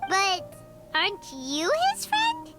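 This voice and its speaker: Squeaky, very high-pitched little fairy girl. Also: wildly swooping pitch, slow pace, over music, exaggerated voice. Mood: curious. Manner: speaks of self in third person, blunt. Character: small excitable floating fairy companion, childlike girl. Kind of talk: video game character dialogue.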